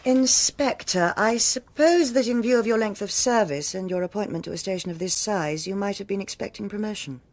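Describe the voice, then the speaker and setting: An actress playing a stern, self-assured senior policewoman with a British accent, speaking in a measured, formal and authoritative way in a radio drama. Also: mid-range voice, natural voice. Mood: formal, cool. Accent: British English.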